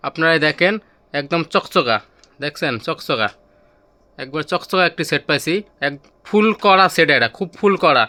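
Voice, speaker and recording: A man's voice: on the high side, animated, normal speed, bright, forceful tone. A man presenting goods for sale in an informal demonstration video, with repetitive patter that keeps urging viewers to look. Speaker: seller presenting used car stereo gear.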